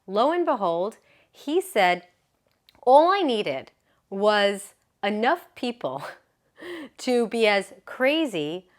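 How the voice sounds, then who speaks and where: Woman yoga coach, mid-range voice, warm earnest chatter, laughs easily, keeps checking in with her listener. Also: medium pitch, very animated, slow, pauses often. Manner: chatty and earnest, often asking "right?". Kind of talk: motivational talk to camera.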